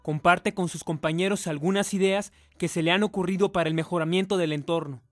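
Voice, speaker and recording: A man's voice: high voice, fairly even intonation, brisk, soft background music, close to an everyday voice. A man reading scripted narration for a radio drama.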